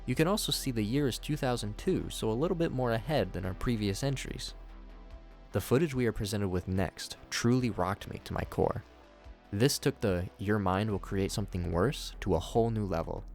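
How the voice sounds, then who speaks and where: Low-pitched male narrator over music. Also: normal speed, darkish tone. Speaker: YouTube narrator reviewing horror series. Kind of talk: scripted narration commentary.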